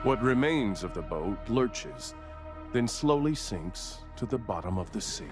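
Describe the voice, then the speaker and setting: An actor playing a wry nature documentary narrator in a video game, with a deadpan, dryly pompous delivery. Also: male voice, medium pitch, a little slow, natural voice. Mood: dry, ironic. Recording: loud music under the voice.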